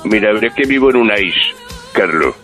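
A man's voice: lowish voice, animated, a little fast, music in the background, lightly acted. A man playing a chatty, anxious, gluttonous housewife from Spain, wheedling and folksy as a caller in a radio comedy sketch. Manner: wheedling, folksy, calls people dear. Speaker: listener phoning a radio show.

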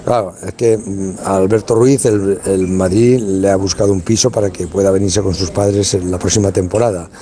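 Low, mellow man rambling on air. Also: steady tone, normal speed, hardly any pauses. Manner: rambling and colloquial, lots of restarts and tag questions. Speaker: youth football tournament organizer. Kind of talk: casual radio interview.

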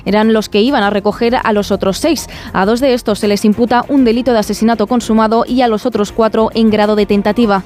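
A woman's voice: on the high side, steady tone, quick pace, darkish tone. A woman with a Castilian Spanish accent presenting scripted radio news.

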